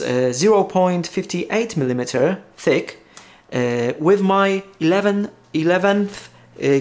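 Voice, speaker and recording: A man from Poland with a Polish accent and Polish as his first language, talking casually in an unboxing vlog, halting with many fillers and restarts. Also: on the high side. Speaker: vlogger.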